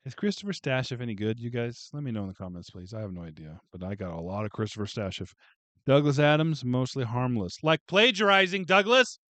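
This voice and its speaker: A man's voice: medium pitch, lively intonation, a little fast, a bit bright, no room sound. A man casually going through a book haul on a video, chatting and joking with viewers as he reads out titles. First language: English. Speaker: booktube vlogger.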